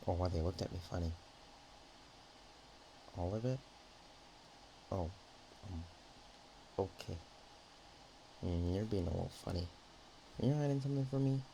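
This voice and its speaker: Roleplay ASMR dragon, played by a man: naive, affectionate, nervous rambler; low-pitched, relaxed mellow delivery with halting phrasing. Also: vocal fry, a little hiss or hum, poor audio. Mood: puzzled, innocent. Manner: hesitant, halting, softly rambling.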